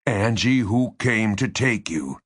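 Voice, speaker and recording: Guy doing a pushy male interrogator in an audiobook, low and slow, tight controlled, enunciates hard. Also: lively intonation, fairly bright tone, no room sound, studio quality, exaggerated voice. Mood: insistent. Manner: measured, tight, deliberately enunciated. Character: controlled, insistent male interrogator with strained patience.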